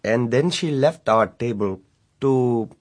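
A man's voice: mid-range voice, lively intonation, takes it slowly. A man playing a young foreign prince, naive and rueful, speaking formally and hesitantly in a radio drama.

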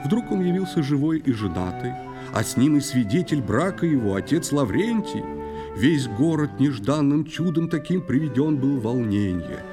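A man narrating a children's audio play in verse, with expressive, unhurried storytelling. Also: mid-range voice, music in the background.

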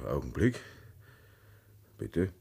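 A man's voice: a bass voice, very animated, extremely slow, dark tone, breathy edge, exaggerated voice. An actor playing a nervous, placating country father in a radio drama, hesitant and. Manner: hesitant, nervously chuckling, appeasing.

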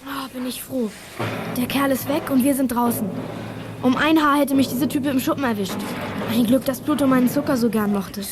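A woman's voice: sits high, fairly even intonation, moderate pace, lightly acted. A female performer playing a plucky, cheeky thirteen-year-old girl detective in a youth radio play. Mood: relieved. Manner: spirited, cheeky. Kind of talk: 1980s German youth radio play acting. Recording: noisy old audio drama recording.

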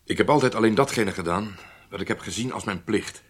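A man's voice: fairly low pitch, a little fast, husky. A man acting in a radio drama as a stubborn, dutiful intelligence chief with a firm, clipped delivery. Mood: defiant.

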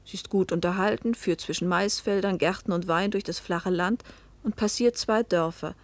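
An actress playing a pensive middle-aged wife and mother, recounting a fateful journey in measured literary narration that sometimes sinks toward a whisper. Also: mid-range voice, dry recording, close to an everyday voice. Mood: somber, descriptive. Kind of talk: literary first-person narration in a German radio play.

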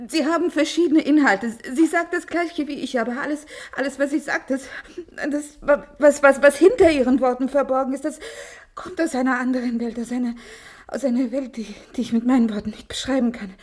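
A woman acting a distressed, agitated female test subject in a radio play, halting and repeating her words. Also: sits high, a little mellow. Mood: desperate, agitated.

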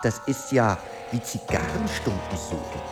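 An actor playing a fastidious, brooding gentleman in a radio drama, easily unsettled, speaking in a theatrical, halting way. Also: mid-range voice, big pitch swings, over loud music. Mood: irritated, puzzled.

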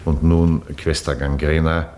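A dignified, stern Vatican monsignor in a radio drama. His voice is very deep, his delivery measured and authoritative. Faint noise lies behind the recording. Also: little pitch movement, darkish tone. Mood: grave, formal. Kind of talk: radio play acting.

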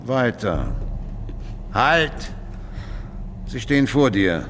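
An actor playing a menacing, commanding master sorcerer in a radio drama, speaking slowly and deliberately with threat. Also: male voice, mid-range voice, very animated, a bit bright, a little hiss or hum, full character voice. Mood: commanding, threatening.

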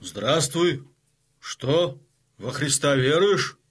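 A husky, bright-edged Cossack chieftain in a radio drama. He speaks slowly and with weight, stern and hearty, as a man used to command. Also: on the high side, lively intonation, full character voice. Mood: stern, ceremonious. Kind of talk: audio drama acting.

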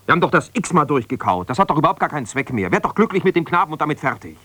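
An actor playing an embittered, self-righteous husband, a lawyer, reproachful and exasperated on one side of a phone call in a naturalistic radio play. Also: male voice, on the high side, big pitch swings, rapid-fire, bright, forceful tone, dry recording, full character voice. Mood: exasperated, accusing. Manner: defensive, reproachful, exasperated, insistent.

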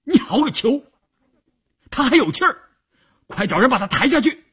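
Man doing a roaring, gruff regimental commander. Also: extremely high pitch, sing-song, moderate pace, fairly bright tone, voice pushed up, exaggerated voice. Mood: angry urgency. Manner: roaring, coarse, urgent. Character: gruff, bloodied male regimental commander barking orders.

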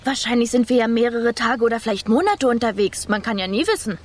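Spirited young mouse princess, female actor, children's audio drama. High pitch, brisk chattering delivery. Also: natural voice. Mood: eager. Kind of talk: children's radio play acting.

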